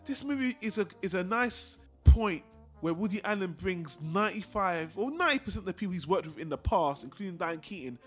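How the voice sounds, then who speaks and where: A man's voice: high voice, lively intonation, fairly quick, a bit bright, soft background music. A man co-hosting a casual film discussion, rambling and repeating himself for emphasis. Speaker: podcast co-host.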